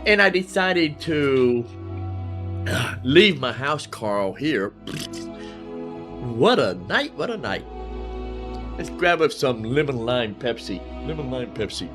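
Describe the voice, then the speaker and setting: A man's voice: medium pitch, very animated, slow, pauses often, over music. A man hosting a casual game livestream, rambling in a folksy way and repeating phrases for emphasis. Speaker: live streamer, gaming host.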